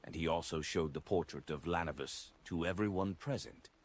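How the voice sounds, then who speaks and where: A man's voice: sits low, normal speed. A man voicing a rambling, droning drunkard in an audiobook, sighing over a poor friend. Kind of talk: audiobook character dialogue.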